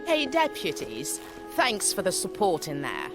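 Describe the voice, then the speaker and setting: An informal, blunt captain in a fantasy game. Her fairly low voice is lively in pitch, set against loud music. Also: measured pace, full character voice. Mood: wry familiarity. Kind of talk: video game cutscene acting.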